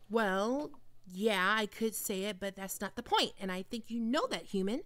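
A woman's voice: mid-range voice, lively intonation, normal speed, a bit bright, no room sound, natural voice. A woman playing a prickly, flustered young vampire cat-girl in an audio roleplay, sarcastic and defensive. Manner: sarcastic, defensive, sighing asides. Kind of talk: ASMR roleplay monologue.

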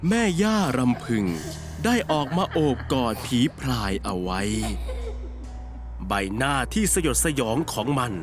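A male storyteller delivers a scripted horror tale with a very lively, swinging pitch. His delivery is dramatic, and faint music plays behind him. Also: unhurried. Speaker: narrator of a horror cartoon series. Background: background music.

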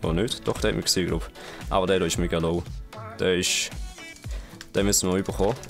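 A young man from Switzerland with a Swiss German accent, casually commenting live on his own gameplay, excitable and full of fillers. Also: deep voice, vocal fry, faint music behind. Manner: casual, excitable, many fillers and quick reactions. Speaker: gaming channel host.

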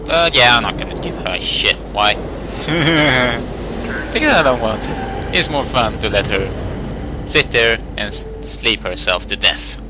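A man's voice: medium pitch, animated, unhurried, background noise. A young man with a Swedish accent, commentating his gameplay in a crude, swearing rant. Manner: crude, angry ranting with swearing. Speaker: let's play gamer.

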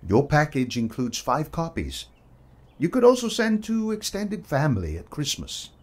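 Kindly, husky-voiced photographer. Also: male voice, fairly high pitch, huge pitch swings, normal speed, darkish tone. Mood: encouraging. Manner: polite, reassuring, slightly hesitant. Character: kindly, modest male portrait photographer. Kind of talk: period audio drama acting.

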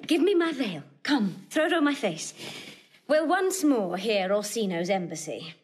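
An actress playing a proud, witty young countess in classical Shakespearean style, imperious, arch and articulate. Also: female voice, fairly high pitch, big pitch swings, a little slow. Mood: imperious, curious. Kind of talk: classical stage acting of Shakespeare.

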